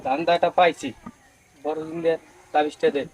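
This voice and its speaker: A man's voice: fairly high pitch, little pitch movement, moderate pace, crowd noise, lightly acted, poor audio. A man playing a simple, eager underling of an exorcist guru in an amateur comedy drama. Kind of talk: hobby comedy drama acting.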